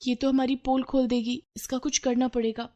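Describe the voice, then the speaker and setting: A woman voicing an excitable, scheming young schoolgirl in a children's story. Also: sits high, normal speed, highs cut off, voice pushed up, lightly acted.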